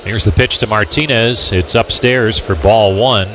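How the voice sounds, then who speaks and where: A man's voice: fairly low pitch, measured pace, fairly bright tone, low-quality recording. An American man calling a baseball game as a radio play-by-play announcer. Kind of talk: live radio sports commentary. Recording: clear background noise from outdoor ballpark.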